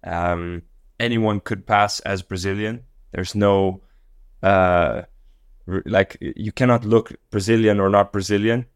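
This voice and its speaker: A man who grew up in Tanzania, a guest on a podcast, talking in a relaxed, thoughtful way with many fillers and restarts. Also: on the low side, a little slow, clean tone, dry recording, studio quality. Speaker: guest, company co-founder. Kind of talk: casual podcast interview.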